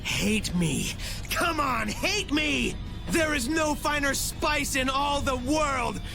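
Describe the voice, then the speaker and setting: A cackling, unhinged assassin. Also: male voice, extremely high pitch, expressive, slow, creaky, music in the background, full character voice. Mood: manic. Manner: cackling, gleeful, unhinged taunting. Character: manic male assassin obsessed with his rival. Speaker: video game villain.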